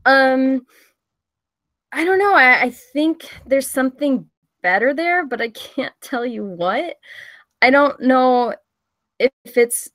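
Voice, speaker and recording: A woman's voice: sits high, lively intonation, takes it slowly, pauses often, fairly bright tone, dry recording. A woman giving casual, tentative feedback as a panelist, talking informally and thinking her points through as she goes. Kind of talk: casual spoken critique at a table read.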